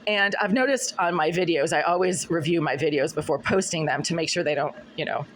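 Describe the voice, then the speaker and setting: A woman's voice: deep voice, brisk. Scratchy-voiced woman, insistent monologue. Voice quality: scratchy, husky voice. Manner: agitated, insistent, repetitive. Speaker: vlogger. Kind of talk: monologue to camera. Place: apartment room.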